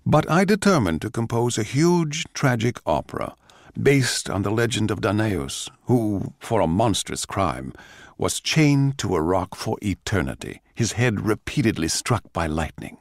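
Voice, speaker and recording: A man playing a bitter, envious male court composer, narrating in a measured, theatrical, sardonic way for radio drama. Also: on the low side, sing-song, dry recording, clean studio sound. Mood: brooding, sardonic.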